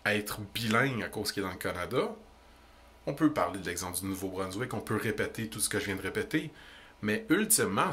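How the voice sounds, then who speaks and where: A young man with a Québécois French accent, ranting indignantly into the camera about politics in quick, emphatic bursts. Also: on the low side, fairly bright tone. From Quebec. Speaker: vlogger. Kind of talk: heated political rant.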